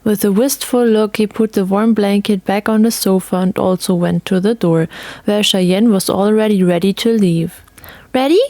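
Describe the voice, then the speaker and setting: A woman's voice: medium pitch, steady tone, moderate pace, dark tone, no room sound. A woman reading scripted story narration as the narrator of an audio tale.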